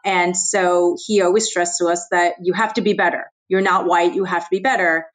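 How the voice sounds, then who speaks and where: A woman's voice: on the low side, steady tone, normal speed. A middle-aged American woman whose first language was Chinese, speaking candidly as a podcast guest, with many "you know" fillers. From United States. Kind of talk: conversational interview.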